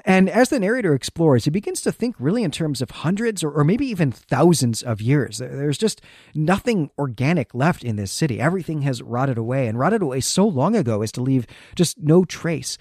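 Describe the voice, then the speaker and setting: A man's voice: a little high, sing-song, fairly quick, a little mellow, no room sound, studio quality. A man co-hosting a literary podcast, talking through a story in a casual, chatty and enthusiastic way. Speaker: podcast co-host. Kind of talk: casual literary recap and discussion.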